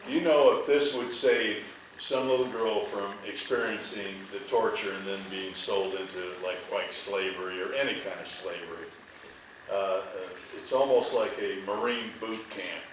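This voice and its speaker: A man's voice: on the low side, moderate pace. A man from a film's cast making folksy, rambling, unscripted remarks to an audience. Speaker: film cast member in an audience Q&A. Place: movie theater stage Q&A. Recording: echoey theater, distant mic, faint noise.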